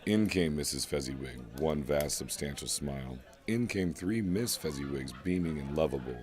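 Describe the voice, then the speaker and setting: A man narrating a scripted classic story with deliberate, literary phrasing. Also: extremely low pitch, a little mellow. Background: music.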